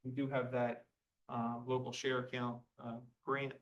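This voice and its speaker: A man giving the manager's report at a township board meeting in an even voice, with many ums and uhs. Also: medium pitch, measured pace, recorded from a distance, muffled recording. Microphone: table microphone.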